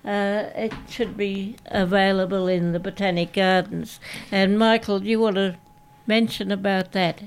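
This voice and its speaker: A woman's voice: mid-range voice, fairly even intonation, smooth. An Australian woman from Canberra, co-hosting a community radio show and talking unhurriedly about a book in the studio. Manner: unhurried, reads aloud from a book. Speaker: radio co-host. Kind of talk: casual radio chat.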